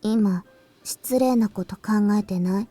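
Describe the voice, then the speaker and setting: A woman's voice: medium pitch, normal speed, warm tone, slightly rough, close to an everyday voice. A woman voicing a modest, matter-of-fact schoolgirl in a visual novel game. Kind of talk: Japanese visual novel voice acting. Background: game music.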